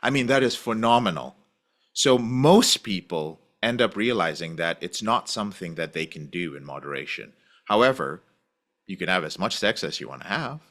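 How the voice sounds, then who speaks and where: A man who is a podcast guest, talking in an animated, casual way and acting out the voices he quotes. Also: deep voice, normal speed. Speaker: podcast guest, recovery program founder.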